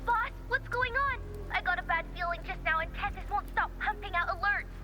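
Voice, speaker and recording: A woman's voice: very high voice, a little fast. A woman voicing a chatty, analytical young female tech ally in a video game. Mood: wry, briefing.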